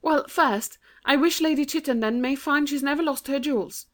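A woman's voice: high voice, normal speed, pitched above the performer's own voice, close to an everyday voice. A woman voicing an earnest, dramatic, kindly young British girl in a storybook reading, well-spoken and sincere. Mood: gentle, earnest.

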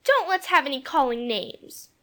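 A woman's voice: high-pitched, sing-song, normal speed, sharp tone, exaggerated voice. A woman playing a small, prim English girl, childlike and insistent, in a storybook dramatization. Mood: anxious.

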